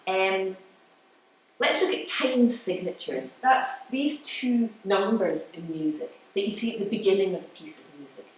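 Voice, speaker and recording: A woman giving a live explanatory talk as a musician, with halting pauses. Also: medium pitch. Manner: explanatory, halting pauses, counts beats aloud. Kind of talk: live lecture with demonstrations. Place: indoor room with an audience. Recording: distant mic, very echoey room, faint noise.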